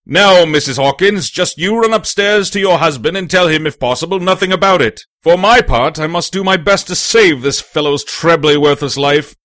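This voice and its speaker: A man voicing a no-nonsense country doctor in an audiobook, crisp, scolding and authoritative. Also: fairly high pitch, normal speed, few pauses, fairly bright tone, no room sound, clean studio sound, natural voice. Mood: brisk, scolding. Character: brisk, commanding, no-nonsense male country doctor. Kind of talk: audiobook character voice.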